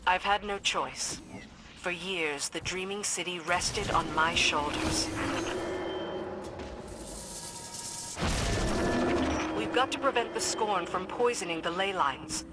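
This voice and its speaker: An actress playing a hardened, duty-bound military commander in a video game, with a firm, no-nonsense manner. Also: female voice, fairly low pitch, measured pace, heavy background noise, lightly acted. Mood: stern, weary. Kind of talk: scripted video game dialogue.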